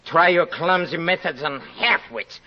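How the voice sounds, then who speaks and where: An actor playing a tormented, guilty murderer in 1940s radio style, proud and agitated, halting between mockery and pleading. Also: male voice, on the high side. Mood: defiant. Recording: muffled 1940s radio recording.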